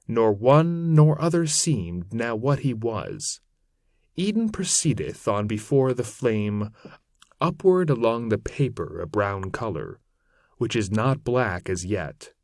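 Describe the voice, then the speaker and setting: A man narrating an old epic poem aloud in a solemn, measured, theatrical manner with archaic phrasing. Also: mid-range voice, a little mellow, no echo, studio quality. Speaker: narrator reading poetry aloud. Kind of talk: dramatic recitation of an epic poem in archaic English.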